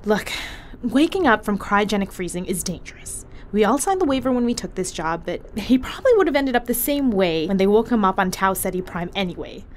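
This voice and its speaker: A woman's voice: medium pitch, animated, a little fast, a little hiss or hum, close to an everyday voice. A woman acting in an audio drama as a brash, irritable, foul-mouthed ship medic with a blunt, sarcastic, defensive manner.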